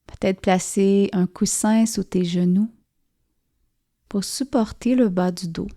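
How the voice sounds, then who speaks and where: A woman guides a yoga nidra relaxation, speaking softly and soothingly with gentle pauses. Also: mid-range voice, fairly even intonation. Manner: soft, soothing, gently pausing. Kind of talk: guided relaxation meditation narration.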